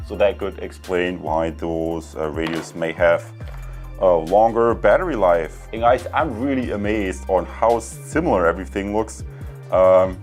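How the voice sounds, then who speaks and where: A man's voice: low voice, a little mellow. A man talks through a product review. His delivery is casual and unscripted, wandering a little as he chats to viewers. Music plays behind him. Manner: rambling, conversational.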